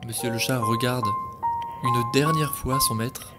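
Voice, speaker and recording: A man telling a scripted story with expressive, dramatic delivery at a middle pitch. Music plays clearly beneath his voice. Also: measured pace. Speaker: podcast creator and narrator. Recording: music playing behind.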